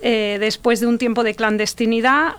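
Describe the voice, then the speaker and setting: Woman, middle-aged, from Argentina, smooth clear voice, reflective, laughs easily. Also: on the high side, fairly even intonation, moderate pace, a bit bright. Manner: personal, reflective, laughs often. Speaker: playwright guest. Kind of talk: relaxed conversational interview.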